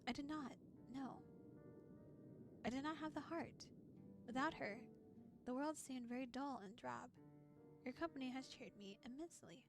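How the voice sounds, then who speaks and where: A woman voicing a gentle, gloomy young mermaid in a visual novel, wistful and formal in her old-fashioned wording. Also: high voice, normal speed, long pauses, over music. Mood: wistful, tender.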